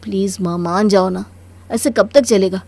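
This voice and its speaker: A woman's voice: mid-range voice, steady tone, moderate pace, gravelly, mellow, no room sound. A woman voicing a dutiful young married son in a Hindi cartoon story, earnest and placating. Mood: pleading.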